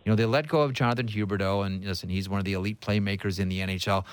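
A man's voice: on the low side, steady tone, racing, smooth, no room sound. A man hosting a sports talk show, chatting and asking questions in a conversational, opinionated way. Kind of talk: casual hockey talk and interviewing.

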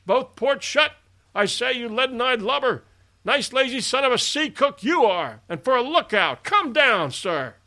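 Man acting a shouting, stern sea captain, pitched high. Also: big pitch swings, unhurried, exaggerated voice. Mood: angry scolding. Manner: shouting, commanding, scolding. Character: stern, gruff male whaling ship captain barking orders. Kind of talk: dramatised storybook reading.

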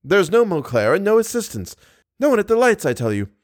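A man's voice: sits high, big pitch swings, a little fast, no room sound, studio quality, voice pushed up. A man voicing a frantic, harried male stage manager who roars and fumes in exasperation. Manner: roaring, exasperated, urgent.

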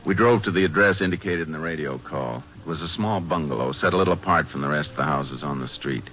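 Courteous ranger investigator, clipped, brisk delivery, 1950s radio drama, muffled recording. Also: male voice, extremely low pitch, fairly bright tone, faint music behind. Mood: grave, factual. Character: steady, courteous male Texas Ranger investigator narrating his case. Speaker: radio actor. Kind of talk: 1950s radio drama acting and first-person narration.

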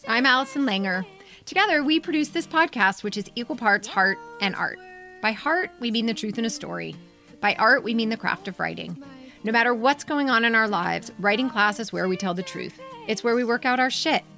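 A woman's voice: a little high, expressive, a little fast, gravelly, pushed and bright, soft background music. A woman podcast co-host and editor talking conversationally, with a candid, casually profane manner. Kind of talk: conversational podcast introduction and commentary.

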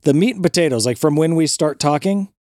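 A man's voice: on the high side, quick pace, a little mellow, no room sound, studio quality. A man co-hosting a podcast, explaining things in casual chat, laughing often and swearing casually. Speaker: podcast co-host.

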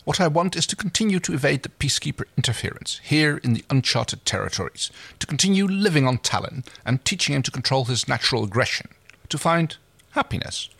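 A man reading aloud and voicing a scheming male ex-commander with earnest, theatrical persuasion, his voice pushed higher than his own. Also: big pitch swings, brisk, gravelly, a bit bright, slightly rough, no echo, exaggerated voice. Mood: persuasive.

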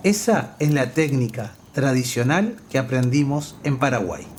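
A man's voice: mid-range voice, lively intonation, normal speed, darkish tone, a little hiss or hum. A man, talking earnestly and with feeling about his own life. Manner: earnest and heartfelt. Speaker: interviewee. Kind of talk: personal testimony.

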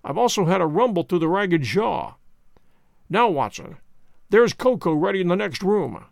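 A man voicing a methodical middle-aged male detective in an audiobook, laying out his reasoning in a measured, deliberate way. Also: sits high, sing-song, vocal fry, no room sound, studio quality, exaggerated voice. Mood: brisk.

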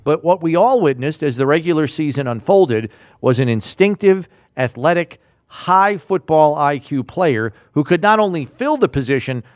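A man hosting a sports podcast, delivering scripted lines in a measured, announcer-like way. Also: a little high, expressive, dry recording, studio quality. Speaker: podcast host.

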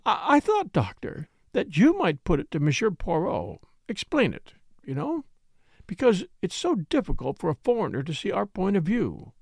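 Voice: mid-range voice, gravelly, a little mellow, dry recording, studio quality, voice pushed up, exaggerated voice. A reader voicing a fussy, tearful lady who talks in a fluttery, rambling, self-pitying way. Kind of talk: audiobook character reading.

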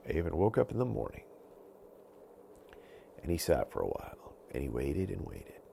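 A man telling a bedtime story to children in an animated storytelling style, as a podcast host. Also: sits low, normal speed, vocal fry, slight background noise.

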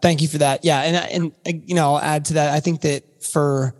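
A man co-hosting a podcast, asking questions in a friendly, relaxed way. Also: on the high side, normal speed, big-room sound. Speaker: podcast co-host. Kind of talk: podcast conversation.

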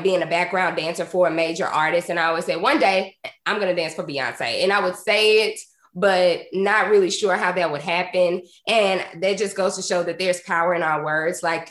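Congested woman in her 30s, kinda nasal, lowish voice, upbeat chatter, echoey room. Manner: upbeat, energetic, laughs often. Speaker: podcast host. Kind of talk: casual conversational interview.

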